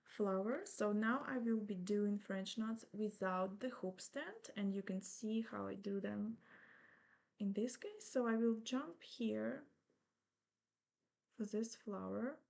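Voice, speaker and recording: A Ukrainian-accented woman in her thirties guides viewers through embroidery stitches at an unhurried, deliberate pace. Her voice sits at a middle pitch, and she explains each step patiently and reassuringly, in the manner of a craft teacher. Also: gravelly. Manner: slow, patient, gently reassuring instruction.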